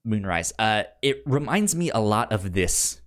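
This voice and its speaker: Male podcast co-host, unhurried pace, stammering repeats, casual movie talk. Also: lowish voice, sing-song. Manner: stammers, repeating syllables and words. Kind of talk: casual film discussion.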